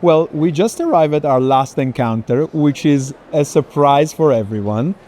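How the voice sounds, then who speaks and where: Mellow man moderating, faint background noise. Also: fairly high pitch, lively intonation, normal speed. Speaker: moderator of an art conversation. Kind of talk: casual moderated conversation. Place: art fair, walking tour. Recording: faint noisy fair hall ambience.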